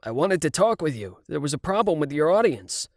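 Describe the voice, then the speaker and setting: A man's voice: on the high side, sing-song, fairly quick, a little mellow, no echo, clean studio sound, pitched above the performer's own voice. A man voicing a nervous, slippery producer. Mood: wheedling. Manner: nervous, wheedling, evasive.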